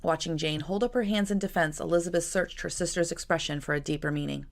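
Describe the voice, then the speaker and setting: Woman, novel narration, slightly low voice, creaky edges, measured dramatic delivery. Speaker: author reading own novel aloud. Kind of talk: expressive scripted audiobook narration.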